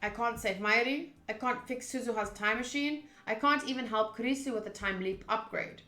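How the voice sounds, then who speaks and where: A chatty, expressive woman, a native Afrikaans speaker, streaming a game with casual talk and reading aloud. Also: fairly high pitch, moderate pace. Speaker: streamer playing a visual novel. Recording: roomy sound with music underneath. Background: game music.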